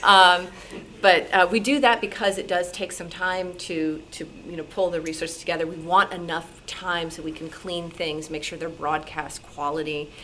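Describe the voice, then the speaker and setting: A woman's voice: lowish voice, flat delivery, moderate pace, sharp tone. A woman casually explaining things as a panelist at a club meeting. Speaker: radio show team member, panelist. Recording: clearly noisy live room.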